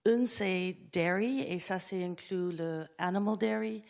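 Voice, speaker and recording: Panelist, a woman with a lowish voice who mixes into hesitant French. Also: vocal fry, a little mellow. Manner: halting French mixed with English words. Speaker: conference panelist. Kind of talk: conference panel talk.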